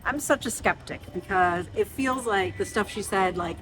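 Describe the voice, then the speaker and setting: A woman's voice: mid-range voice, moderate pace, a bit bright, low-quality recording. A woman hosting a travel vlog, chatting casually to the camera.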